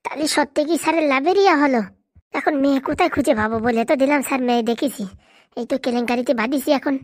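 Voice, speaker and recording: A woman plays a fretful little schemer of a village sidekick in a comic cartoon. The voice is high and chatters extremely fast, deferential, in dialect. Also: dry recording, clean studio sound, natural voice. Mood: scheming. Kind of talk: comic Bengali cartoon dialogue.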